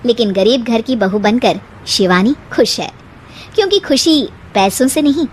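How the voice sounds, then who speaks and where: A woman's voice: fairly high pitch, animated, moderate pace, a little hiss or hum. A woman storyteller reading a scripted moral story.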